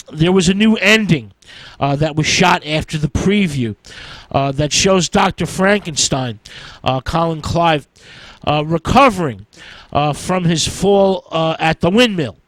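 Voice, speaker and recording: A man giving an informal film history talk as a radio presenter, in a halting, hesitant way with an "uh" before nearly every phrase. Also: on the high side, a bit bright, no room sound, low-quality recording.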